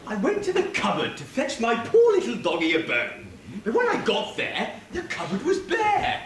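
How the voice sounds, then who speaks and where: A man's voice: extremely high pitch, wildly swooping pitch, moderate pace, exaggerated voice. A man playing a vain, comic pantomime dame, theatrical and mock-refined on stage. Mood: pleading. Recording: distant, echoey stage recording, faint noise.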